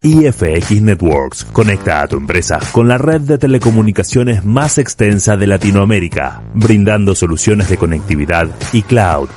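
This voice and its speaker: A man's voice: on the low side, expressive, normal speed, few pauses, music in the background. A man reading a scripted radio commercial as an announcer.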